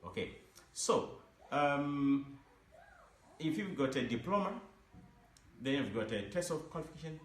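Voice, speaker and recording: A man from Zimbabwe, a teacher giving informal advice in a halting way, full of restarts and repeated words. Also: on the high side, lively intonation. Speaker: English teacher. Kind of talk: informal advice talk to camera. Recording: echoey room, faint background noise.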